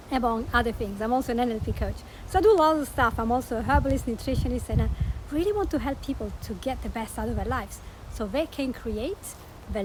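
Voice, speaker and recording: A woman's voice: a little high, background noise. A woman with an Italian accent, talking earnestly as a podcast host and life coach, promoting her show. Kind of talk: promotional monologue.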